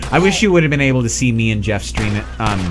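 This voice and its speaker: A man's voice: medium pitch, animated, quick pace, music in the background. A man streaming a game with a friend, joking around in casual chat with a tenor voice. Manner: jokey, laughs often, talks over his co-host. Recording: faint background noise.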